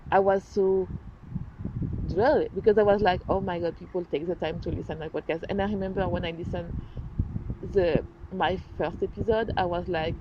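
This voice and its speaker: A woman with a French accent hosting her own podcast, talking earnestly and animatedly in a casual solo style, often restarting and correcting herself. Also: lowish voice. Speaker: podcast host. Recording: dull lo-fi sound, faint noise.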